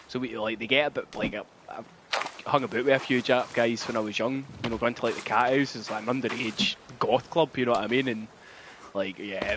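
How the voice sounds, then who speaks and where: A Scottish man of thirty talks in a relaxed, rambling way. He swears freely and his voice sits at an ordinary middle pitch. Faint background noise runs under the recording. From Scotland. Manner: laid-back and swearing, with lots of laughter. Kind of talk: casual chat.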